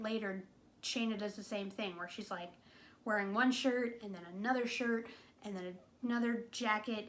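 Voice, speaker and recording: A woman's voice: fairly high pitch, a bit bright. Chatty wry woman reviewing a movie. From New England. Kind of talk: casual video review.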